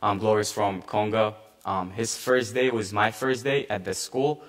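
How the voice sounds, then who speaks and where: Young man, earnest halting testimony, lowish voice. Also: steady tone. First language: Arabic. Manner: earnest, halting with restarts and fillers. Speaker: panel speaker, refugee volunteer. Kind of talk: personal testimony at a conference.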